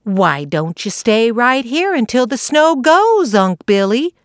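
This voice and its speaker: A woman voicing a cheerful, lively young male squirrel with a high, eager voice. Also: takes it slowly, clean tone, dry recording, clean studio sound. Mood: friendly.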